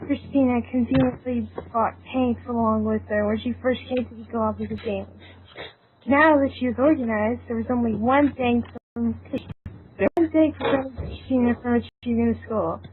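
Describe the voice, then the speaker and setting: A woman's voice: fairly high pitch, normal speed. A woman reading scripted fan fiction narration as an amateur narrator. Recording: tinny, band-limited, noisy amateur recording.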